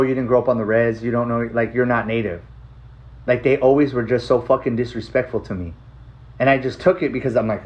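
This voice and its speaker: An American man laying out his life story bluntly and emphatically, like someone on a homemade personal video with dull, lo-fi sound. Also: mid-range voice. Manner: blunt, emphatic, repeating short phrases.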